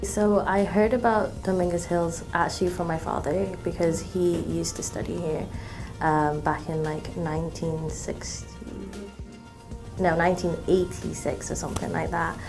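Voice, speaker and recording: A woman in her twenties from Malaysia, a student talking in an interview, relaxed, with many ums and restarts. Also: fairly low pitch, normal speed, music in the background. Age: 20s. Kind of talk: interview testimonial.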